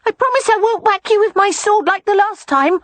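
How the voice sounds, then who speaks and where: A woman performs an eager four-year-old boy for an audiobook. The voice sits far above an adult register and moves in lively swoops. He is earnest and stubborn, coaxing one moment and complaining the next. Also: very high-pitched, a little fast, no echo, voice pushed up. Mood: eager, insistent. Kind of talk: audiobook character voice.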